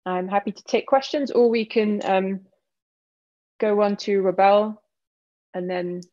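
A woman in her thirties giving a relaxed seminar talk over a video call, with many fillers. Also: medium pitch, unhurried, no room sound, lo-fi sound. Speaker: guest speaker.

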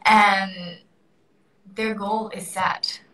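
A woman's voice: mid-range voice, level tone, very slow, bright, forceful tone. Upbeat young woman on an echoey video call. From Thailand. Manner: earnest, upbeat, laughs often. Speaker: guest. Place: indoor room by a window. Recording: echoey room, far from the mic.

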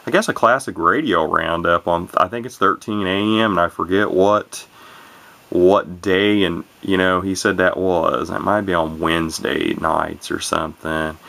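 A man rambling casually to camera in a flat, monotone way, with many fillers and restarts, and words run together. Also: a little slow. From Illinois, USA. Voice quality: low gravelly voice, talks monotone. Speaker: YouTuber showing vintage radios. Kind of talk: casual unscripted talk to camera.

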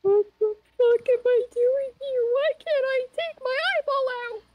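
A woman's voice: very high-pitched, a little slow, muffled recording, noisy background. A woman voicing a panicked, bewildered little female jester in an amateur cartoon fan dub, stammering and repeating herself, foul-mouthed. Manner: stammering, repetitive, incredulous.

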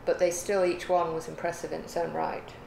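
A woman's voice: fairly low pitch, little pitch movement, a little fast, darkish tone. An older woman chatting casually as a livestream guest, rambling thoughtfully and correcting herself as she goes. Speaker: guest, the host's mother. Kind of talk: casual chat on a craft livestream. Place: living room or home craft room. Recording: echoey room, clear background noise.

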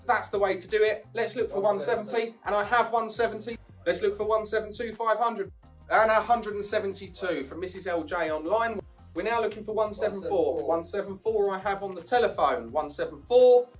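A brisk English auctioneer in his thirties calls out bids and urges buyers on, his voice pitched fairly high. He speaks from a podium mic in a small auction room and sounds a little distant from the microphone. Faint music plays underneath. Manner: brisk, upbeat, encouraging bidders. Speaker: property auctioneer. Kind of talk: live auction calling. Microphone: podium mic, filmed from a distance.

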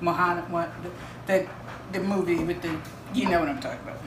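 A woman's voice: on the low side, brisk. A middle-aged woman chatting to the camera in her home kitchen, playful and rambling, laughing often. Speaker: homesteading vlogger. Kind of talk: casual chat to camera. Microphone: camera a few feet away. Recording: echoey kitchen with clear noise.

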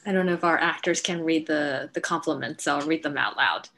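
Female moderator, middle-aged, slightly low pitch, online Q&A host on a video-call headset. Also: a little fast, fairly bright tone, slight background noise. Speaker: host, writer and director. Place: indoor room, video call. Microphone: video call, wearing headset.

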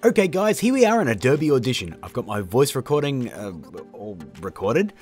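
A man's voice: mid-range voice, big pitch swings, moderate pace, a little mellow. A man in his thirties hosting a video tutorial in a casual, jokey style. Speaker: host of a video tutorial show. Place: small indoor studio room. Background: faint music.

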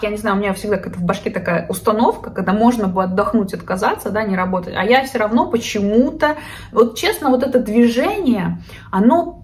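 A woman in her thirties chatting casually to the camera from her living room, talking expressively and gesturing with her hands. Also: medium pitch. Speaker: vlogger, psychologist. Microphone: camera at desk distance.

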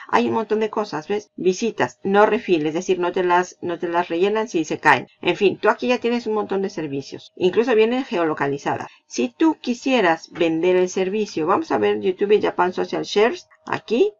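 A woman's voice: mid-range voice, lively intonation, moderate pace, gravelly, hardly any pauses, lo-fi sound. A woman teaching a screen-recorded online marketing tutorial, chatty and explanatory, often repeating her points for emphasis. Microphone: voice-over over screen capture.

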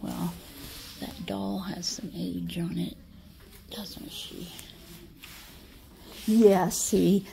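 A woman's voice: lowish voice, fairly even intonation, extremely slow, creaky, pauses often, mellow, slight background noise, poor audio. A woman with a Midwestern American accent vlogging casually as she shops, chatty and often dropping to a whisper, filmed on a handheld phone in a large indoor store. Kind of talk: casual shop-with-me chat. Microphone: handheld phone camera.

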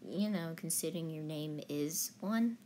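A woman playing a chirpy, fatherly, pun-loving man in a comic dub, playful and gently chiding. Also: sits low, a little slow, slight background noise. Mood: teasing. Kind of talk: fan comic dub reading.

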